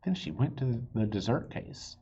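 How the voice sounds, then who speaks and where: A man reading a children's book aloud in an expressive way, with occasional asides and stumbles. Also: mid-range voice, normal speed, lo-fi sound, a little hiss or hum. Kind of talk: animated read-aloud narration.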